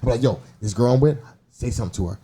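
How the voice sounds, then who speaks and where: An American man hosting a casual podcast, telling animated, slangy stories and acting out what people said. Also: mid-range voice, very fast. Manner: animated storytelling, slangy, acts out quoted remarks. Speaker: podcast host. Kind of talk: casual group banter and opinionated rants.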